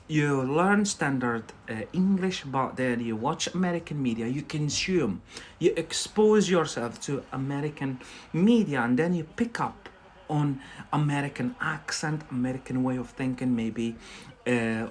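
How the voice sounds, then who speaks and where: A man from Algeria, whose first language is Algerian Arabic, chats through an unscripted English lesson on a live stream. He speaks at an ordinary middle pitch, scatters "um" between thoughts and tags a questioning "yeah?" onto many phrases. A faint hiss of background noise sits under his voice. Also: lively intonation, measured pace. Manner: loose and unscripted, with many fillers and self-corrections. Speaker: English teacher.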